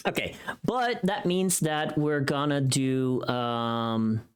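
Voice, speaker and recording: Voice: medium pitch, takes it slowly, clear, resonant tone. A livestream host chatting casually while working, informal and sweary, often thinking aloud.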